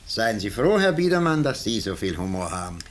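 An actor in a 1950s German radio drama playing a calm, sly arsonist lodger who is courteous, deliberate and matter-of-fact. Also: male voice, on the low side. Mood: quietly menacing. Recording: faintly noisy 1950s radio recording.

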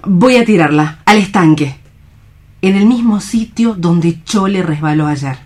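A woman playing a gentle, hopeful woman in a radio play, speaking earnestly and with careful formality. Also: on the low side, expressive, unhurried. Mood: hopeful resolve. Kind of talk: theatrical radio play reading.

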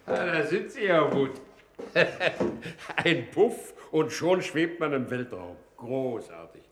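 An actor playing a jovial, blustering older war minister in a radio drama, chatty and self-satisfied. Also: male voice, on the high side, sing-song, slow pace, roomy sound, noisy background. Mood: jovial, amused. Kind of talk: 1960s German-language radio drama acting.

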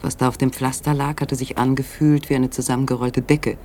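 Male narrator for radio drama, mid pitch, measured and suspenseful, faint ambience behind. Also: level tone, darkish tone, slight background noise. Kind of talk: scripted literary narration in radio play.

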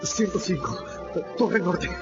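High-pitched, frightened prison jailer. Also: male voice, big pitch swings, slow, exaggerated voice. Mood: subdued. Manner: timid, deferential. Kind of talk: radio drama acting. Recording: distant dull radio sound under loud music.

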